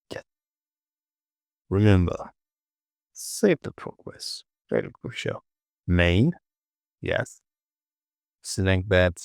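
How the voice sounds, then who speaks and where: Slow, deep-voiced man with creaky tone. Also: dry recording, clean studio sound. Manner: short clipped instructions, self-questioning asides, repeats phrases. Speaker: 3D modelling tutorial instructor. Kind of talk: casual step-by-step software tutorial narration.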